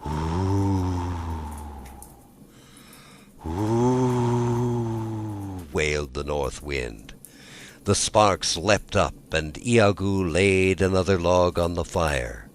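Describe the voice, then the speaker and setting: A male storyteller with a low voice reads a fairy tale. He takes his time and swings widely in pitch, placing dramatic emphasis on key words. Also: animated, slow, slight background noise. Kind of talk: scripted fairy tale narration.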